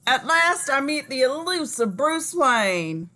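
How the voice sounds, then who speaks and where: A woman acts out a genial businessman father in his fifties for an animated film script. The voice is high, bright and animated, effusively welcoming and over-familiar. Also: slow pace, heavily acted. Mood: pleased, hearty.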